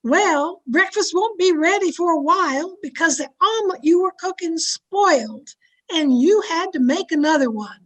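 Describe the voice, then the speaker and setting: A woman's voice: very high-pitched, expressive, normal speed, low-quality recording. A woman playing a frank, loyal, chatty housemaid in an old-time radio sitcom style. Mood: hesitant.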